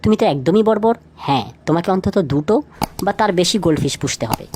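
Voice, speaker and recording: Lower-voiced woman presenting scripted trivia. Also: animated, fairly quick, darkish tone, slight background noise. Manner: addresses the listener directly with rhetorical questions. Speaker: lead narrator of a feature video. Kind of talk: scripted narration of light trivia.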